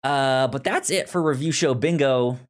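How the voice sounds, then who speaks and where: A man co-hosting a podcast, chatting casually about films in a halting way, often repeating words and restarting. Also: medium pitch. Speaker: podcast co-host. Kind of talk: casual film review chat.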